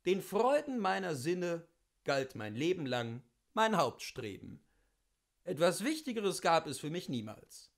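Male audiobook reader for literary classics; notably high voice, very lively melody, theatrical and carefully articulated. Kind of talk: expressive literary audiobook reading.

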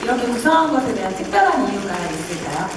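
A woman's voice: fairly high pitch, very animated, fast. A woman moderating a press call in a formal, polite register as the host. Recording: very echoey, distant, noisy.

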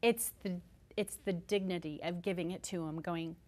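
A woman's voice: lowish voice, fairly even intonation, normal speed, a little mellow. A middle-aged woman speaking earnestly and emphatically as an interview guest. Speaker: guest author and homeless advocate. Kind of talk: conversational TV interview. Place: furnished living-room style TV studio set.

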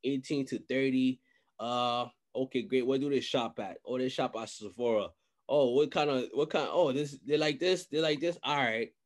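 A young man in a casual chat, giving advice in an animated, slangy way and often repeating phrases. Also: mid-range voice, normal speed. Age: young adult. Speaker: podcast host and music industry adviser. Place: small indoor room, video call. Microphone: desk microphone, close.

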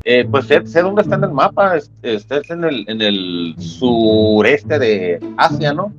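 A man's voice: mid-range voice, expressive, normal speed. Middle-aged man, Mexican accent, chatty, webcam call, dull muffled sound, music underneath. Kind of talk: casual video chat. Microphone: webcam on video call.

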